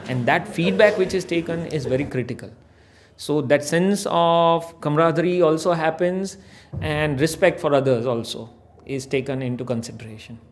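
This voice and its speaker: A man's voice: a little high, normal speed, a little hiss or hum. A man who teaches, speaking in an explanatory interview testimonial.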